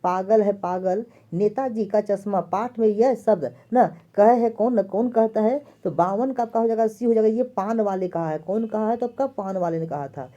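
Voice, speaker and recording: Woman exam tutor, very dark voice, muffled lo-fi audio, goes through answers and repeats each one. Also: medium pitch. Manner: repeats each question and answer several times. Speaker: exam prep teacher. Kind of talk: answer key walkthrough.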